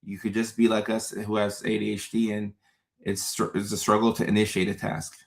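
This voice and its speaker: Chatty man from Ghana, lowish voice. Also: a little fast, creaky. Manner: relaxed, chatty, repeats phrases, reads viewer comments aloud. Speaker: host. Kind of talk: casual livestream chat.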